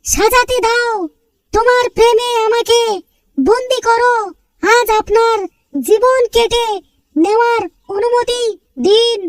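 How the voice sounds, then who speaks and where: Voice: very high-pitched, fairly bright tone, dry recording, full character voice. A performer voicing a small cartoon character who recites sentimental love lines in a mournful, earnest way. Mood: pleading.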